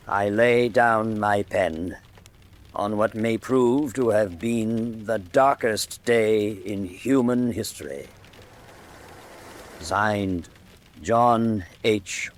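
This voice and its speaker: An actor playing a loyal, earnest English gentleman doctor in a radio drama, old-fashioned in manner, with an English accent. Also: lowish voice.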